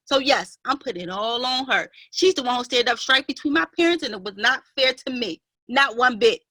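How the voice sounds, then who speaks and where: An actress playing an aggrieved, proper half-sister in a family drama, speaking in an emphatic, wounded, indignant way. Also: female voice, fairly high pitch, expressive, a little fast, pushed and bright, no room sound. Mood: indignant, hurt. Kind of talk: dramatic play reading.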